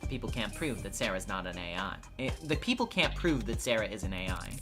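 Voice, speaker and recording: A woman's voice: a bass voice, big pitch swings, fairly quick. A woman voicing a confident, cool and sly defense attorney in a visual novel game. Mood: earnest, resolute. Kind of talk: character acting in a visual novel playthrough. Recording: loud game music behind the voice.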